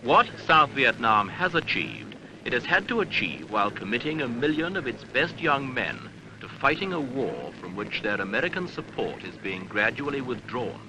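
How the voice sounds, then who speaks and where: A man reading a scripted documentary newsreel narration in a measured, grave, rhetorical manner, on an archival seventies soundtrack. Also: medium pitch, sing-song, noisy background.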